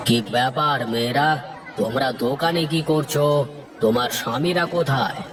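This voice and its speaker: A man's voice: medium pitch, moderate pace, crowd noise, background noise, lightly acted. A man voicing a pompous, bossy village landlord in a cartoon, with an authoritative, commanding manner. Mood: stern, dismissive. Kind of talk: cartoon dub acting.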